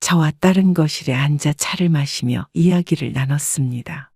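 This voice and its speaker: A woman calmly narrating a first-person life story in a measured, scripted way. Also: sits low, husky, dry recording, clean studio sound.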